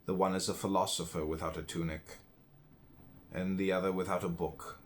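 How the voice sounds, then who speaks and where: A man's voice: sits low, level tone, moderate pace, slight background noise. A man reading a philosophy audiobook, stressing key words and phrases with dramatic emphasis. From United States. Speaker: audiobook reader.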